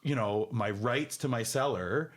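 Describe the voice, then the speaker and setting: A man's voice: medium pitch, a little slow. A man, a real estate agent, telling a story in casual podcast chat; he says he has a big voice.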